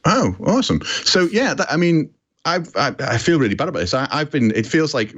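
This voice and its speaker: A man's voice: a little high, very animated, a little fast, a bit bright, lo-fi sound. A British man presenting a podcast in a chatty, informal and self-deprecating way. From Britain. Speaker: podcast host.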